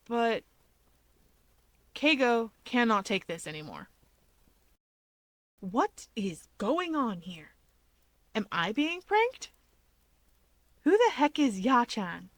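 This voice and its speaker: A woman reading a story aloud as narrator, with expressive scripted delivery. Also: a little high, dry recording.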